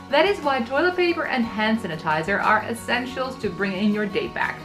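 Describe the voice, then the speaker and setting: A woman from the Netherlands in her thirties giving travel tips in a scripted vlog, explaining clearly and practically. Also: a little high. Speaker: travel vlogger.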